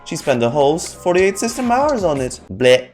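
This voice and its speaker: A woman's voice: sits low, big pitch swings, normal speed, a little mellow, soft background music. A woman streamer giving casual reaction commentary on a game and reading its text aloud.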